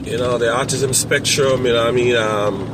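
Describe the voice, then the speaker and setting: A man talking casually to camera as a parent coach, rambling with many fillers and restarts, with a strong, loud voice. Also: medium pitch, fairly even intonation, moderate pace, noisy background.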